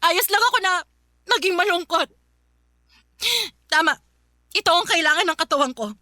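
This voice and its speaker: A woman's voice: very high-pitched, lively intonation, normal speed, pushed and bright, no room sound, clean studio sound, heavily acted. An actress playing a grieving, pleading young woman in a Filipino radio drama, with a Filipino accent and a tearful delivery. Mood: tearful, resigned.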